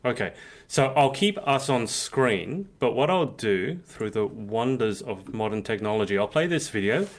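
A man's voice: on the low side, expressive, normal speed. A man hosting a live interview, chatty and casual, with frequent fillers. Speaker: host. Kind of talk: livestream interview.

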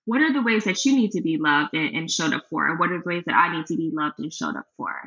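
Woman guest, earnest and reflective, lowish voice, lo-fi sound. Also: expressive, fast, bright, forceful tone, a little hiss or hum. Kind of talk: casual conversation. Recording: dull lo-fi sound.

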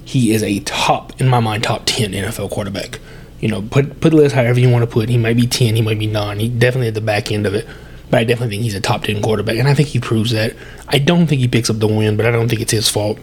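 A man's voice: fairly low pitch, fairly even intonation, fast talker, a little mellow, a little hiss or hum. A young adult man hosting a solo sports podcast, congested and with a sore throat, chatty and breathing heavily as he corrects himself. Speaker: podcast host.

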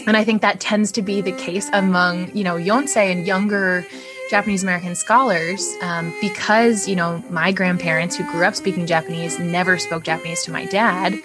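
A woman's voice: on the low side, steady tone, normal speed. A young adult woman giving a reflective interview answer as a scholar, thoughtful and searching, with many ums and likes. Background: soft background music.

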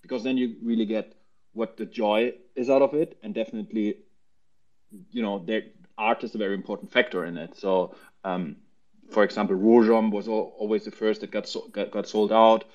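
A man explaining things casually as a panel guest, haltingly and often repeating words, over lo-fi voice chat audio. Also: on the low side, steady tone.

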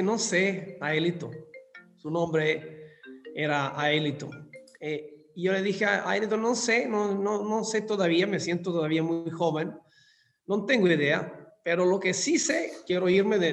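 A man's voice: high-pitched, measured pace, soft background music. A fifty-year-old man from southern Italy with an Italian accent, telling his story as an interview guest in Spanish as a second language, courteous and often repeating words. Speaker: interview guest, hotel managing director. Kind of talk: conversational personal storytelling. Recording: long hall-like reverb.

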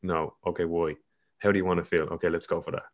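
Irish male host, very low and monotone, loose and reflective, trails off and restarts. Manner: halting, loose phrasing with many fillers. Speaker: podcast host. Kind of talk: casual reflective conversation.

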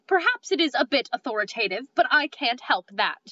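A woman's voice: sits high, sing-song, fairly quick, bright, forceful tone, no room sound, full character voice. A woman playing a proud, self-important male suitor in a comedic stage play, speaking in a stiff, formal, declamatory way. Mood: severe, haughty. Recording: dull, dry lo-fi sound.

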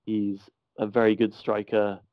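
Low, flat-voiced Irish man. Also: measured pace, dry recording, highs cut off. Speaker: podcast panelist. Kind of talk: casual football chat.

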